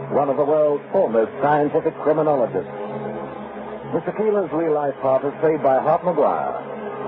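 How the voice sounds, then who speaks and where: A man announcing a radio drama series in a declamatory, old-fashioned style. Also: mid-range voice. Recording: muffled vintage radio recording under music. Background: loud music.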